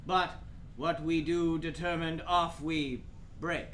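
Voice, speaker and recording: A man plays a failing old king in a radio drama, declaiming verse grandly. The delivery is extremely slow, with a bright, forceful edge. There is faint noise on the recording. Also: on the high side, fairly even intonation. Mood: solemn, fading. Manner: grand, theatrical, deliberate. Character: sickly, weary old stage king, grandly devoted.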